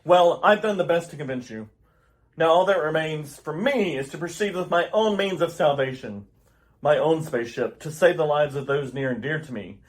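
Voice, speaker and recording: A man's voice: on the high side, moderate pace, natural voice. A man playing an earnest, urgent alien scientist, husband and father, with grave, theatrical delivery in old-style radio theater. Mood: defiant, grave. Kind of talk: retro radio theater acting.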